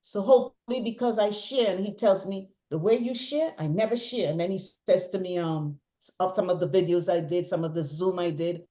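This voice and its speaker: Middle-aged female interview guest, chatty and emphatic, repetitive phrasing, muffled low-fidelity audio. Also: normal speed, few pauses, warm tone. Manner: talkative, emphatic, repeats phrases for stress. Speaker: interview guest, bereaved mother. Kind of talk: personal testimony in conversation.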